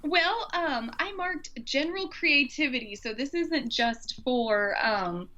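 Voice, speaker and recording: A woman's voice: sits high, lively intonation, slow, dull audio, a crowd in the background. A woman co-hosting a podcast, chatting casually and effusively in an informal way. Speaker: podcast co-host. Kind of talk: casual conversational podcast chat.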